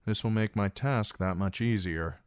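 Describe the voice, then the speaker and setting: A man's voice: lowish voice, normal speed, clean tone, no echo, clean studio sound, close to an everyday voice. A man voicing a broken, terrified young male captive who carries a message and pleads urgently with a hostile crowd.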